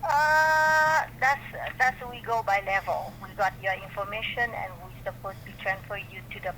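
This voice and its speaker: A woman working as a call center representative, speaking haltingly on a customer service phone call. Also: medium pitch, bright, forceful tone. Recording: noisy telephone line.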